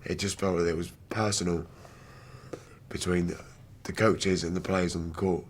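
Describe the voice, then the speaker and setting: A British man in his twenties with a rough, damaged voice, speaking candidly as a wheelchair rugby player in an interview. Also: low-pitched, little pitch movement, normal speed. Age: 20s. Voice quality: damaged, rough voice from throat surgery. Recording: background noise on location.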